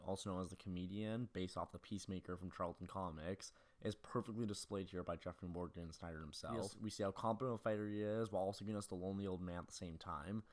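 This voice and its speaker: A young man with a very low voice delivers scripted video essay narration at a quick clip. He moves briskly from point to point in the manner of a film critic. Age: early 20s.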